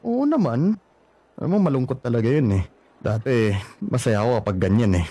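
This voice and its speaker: A wistful, lovelorn young ex. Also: male voice, medium pitch, huge pitch swings, brisk, no echo. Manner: wistful, gently probing. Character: lonely, wistful young man still in love. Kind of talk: radio drama acting.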